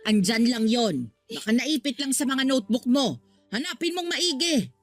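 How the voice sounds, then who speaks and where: A woman's voice: high voice, lively intonation, normal speed, dry recording, soft background music. A woman playing a placating, exasperated mother with a Filipino accent in a Tagalog radio drama. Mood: reassuring. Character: pleading, peacemaking mother of two daughters.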